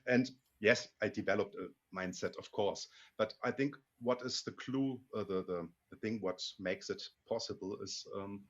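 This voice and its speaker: Guest spot: a German-accented man, low and husky, stop-start delivery with a lot of self-corrections, over a dull lo-fi recording. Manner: halting, many restarts and repeated words. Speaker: interview guest, Hungarian learner. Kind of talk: casual interview conversation.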